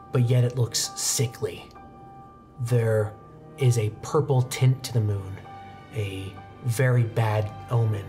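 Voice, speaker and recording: A young man narrating a horror tabletop roleplaying game as game master, with deliberate, suspenseful descriptions and dramatic pauses. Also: medium pitch. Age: young adult. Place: indoor studio game set at a desk. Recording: music playing behind the voice. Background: background music.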